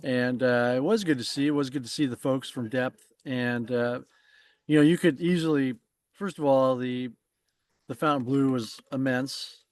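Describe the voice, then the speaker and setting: A man's voice: medium pitch, fairly even intonation, normal speed, a little mellow, no echo. A man co-hosting an interview show who rambles and halts, repeating words as he restarts his sentences. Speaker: co-host. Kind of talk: casual interview chat.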